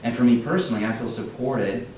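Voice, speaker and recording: A man's voice: mid-range voice, fast talker, darkish tone. Distant American man in an echoey room. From United States. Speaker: interview guest, pianist and composer. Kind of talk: casual live interview. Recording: echoey room, distant mic, clear noise.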